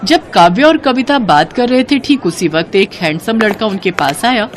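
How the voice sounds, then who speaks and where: A woman's voice: medium pitch, expressive, normal speed. Female storyteller for cartoon moral tales, mid-range voice, light noise behind. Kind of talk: scripted cartoon story narration.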